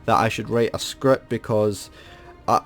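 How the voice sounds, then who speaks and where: A man's voice: lowish voice, fairly even intonation, unhurried, a bit bright, faint music behind. A man from the UK, hosting a gaming video and talking off the cuff. He rambles with many restarts and self-corrections, and sounds aggrieved about feeling ripped off. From United Kingdom. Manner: complaining, aggrieved. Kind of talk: unscripted gaming commentary to camera.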